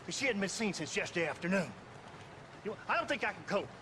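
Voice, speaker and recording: An actor playing a distraught, angry older rancher with an agitated American voice in a Western game. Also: male voice, high voice, very animated, fast talker. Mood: frantic, accusing. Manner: agitated, accusing. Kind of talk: video game cutscene acting. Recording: clear outdoor background noise.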